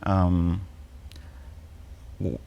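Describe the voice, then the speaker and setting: A man in his forties with an Egyptian accent, an oncologist speaking as a guest in a TV health interview, measured and full of ums and uhs. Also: sits low, flat delivery, creaky, lo-fi sound.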